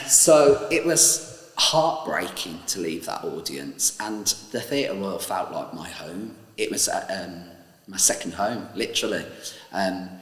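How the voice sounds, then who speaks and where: Deep slow man remembering old panto days, lots of ums, roomy echo. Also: fairly bright tone. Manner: measured, hesitant with many ums. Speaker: former panto cast member. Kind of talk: reflective interview. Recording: echoey room with long reverb.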